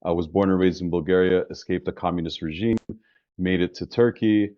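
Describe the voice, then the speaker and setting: Deep-voiced man. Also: fairly quick, dull audio. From New York metropolitan area, US. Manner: relaxed and talkative, frequent restarts. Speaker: guest, insurance business founder. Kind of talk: casual interview conversation.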